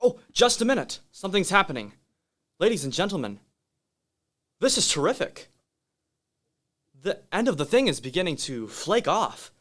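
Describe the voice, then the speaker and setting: Guy acting as awestruck radio reporter, highish voice, dramatic halting delivery, radio drama. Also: sing-song, fairly bright tone, heavily acted. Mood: excited. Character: excitable male radio reporter, awestruck eyewitness. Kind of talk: radio play news reporting.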